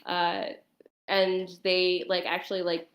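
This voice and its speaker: Casting: woman, lower register, halting conversational explainer, echoey room sound. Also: level tone, dull audio. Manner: hesitant, halting delivery. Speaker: guest on a talk stream. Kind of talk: casual explanatory chat. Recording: roomy, echoing sound.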